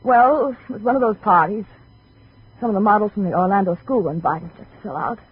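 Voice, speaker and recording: An actress playing a troubled, guarded American femme fatale with melodramatic hesitations, in 1950s radio drama style. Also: female voice, mid-range voice, brisk, breathy edge. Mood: confiding. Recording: dull, faintly noisy vintage radio recording.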